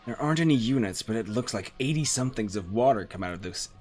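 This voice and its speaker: A man's voice: mid-range voice, lively intonation, fast talker, darkish tone, faint music behind. A young man from the Netherlands with a Dutch accent, streaming a game and chatting casually, rambling with many fillers as he thinks aloud. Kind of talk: casual let's-play chat, reading chat and game text aloud.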